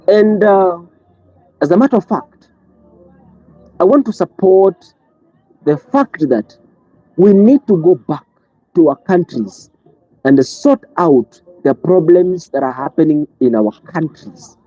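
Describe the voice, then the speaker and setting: Husky, impassioned commentator. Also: sing-song, slow pace, pauses often, low-quality recording. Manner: preachy, fires rhetorical questions, swings between loud outbursts and low pleading. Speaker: online commentator. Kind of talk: impassioned monologue.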